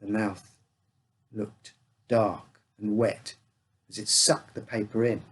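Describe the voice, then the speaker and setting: A man's voice: on the low side, fairly even intonation, slow pace, a little hiss or hum. A man reading a scripted uncanny story as its storyteller. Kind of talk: scripted narration of an uncanny tale.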